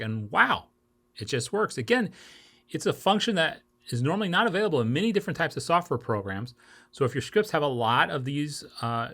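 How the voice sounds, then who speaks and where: A man in his forties hosting an informal explainer tutorial, with a halting delivery that often stumbles and corrects itself. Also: mid-range voice, fairly bright tone. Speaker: video tutorial host. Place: small home office with bookshelves.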